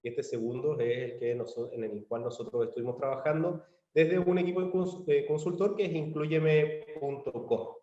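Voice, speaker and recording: A man with a Chilean accent giving a measured conference presentation over a video call, with frequent fillers and restarts. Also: a little high, steady tone, dark tone, roomy sound, highs cut off. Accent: Chilean Spanish. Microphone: computer mic on a video call.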